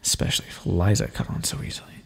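A man playing an aloof, teasing vampire scientist in an ASMR roleplay, speaking wryly and formally with a gravelly voice. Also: fast talker, fairly bright tone, in a large hall, natural voice. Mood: guarded confession. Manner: wry, condescending, formal wording with pet names. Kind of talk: ASMR roleplay, scripted intimate monologue to the listener.

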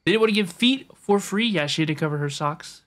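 A woman's voice: lowish voice, animated, quick pace. A woman live-streaming a game with casual, playful, teasing reaction commentary, often repeating her phrases.

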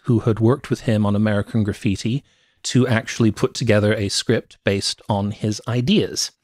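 A man's voice: medium pitch, darkish tone, no echo, studio quality. A middle-aged man hosting a movie podcast, telling film history in a conversational, explanatory way. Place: small cluttered home office, indoors. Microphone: close podcast microphone with headphones.

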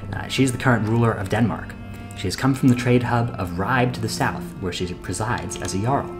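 A young man hosting a game playthrough, reading character dialogue aloud in a plain voice and then chatting casually about his choices. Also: fairly low pitch. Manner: reads character lines plainly, then chats casually with pauses and fillers. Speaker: let's play host. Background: game music.

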